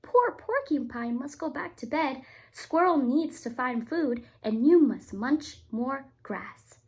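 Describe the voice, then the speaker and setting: A woman's voice: sits high, animated, takes it slowly, breathy edge, exaggerated voice. A woman voicing an earnest, worried young male fox from a storybook, giving urgent, emphatic warnings.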